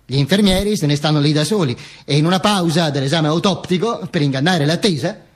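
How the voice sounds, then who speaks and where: An actor playing a vain dead dictator in a theatrical monologue, pompous and halting, with stammered repetitions. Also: male voice, a little high, breathy edge. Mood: dry, morbid. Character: vain dead dictator narrating from beyond the grave. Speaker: stage actor performing a monologue.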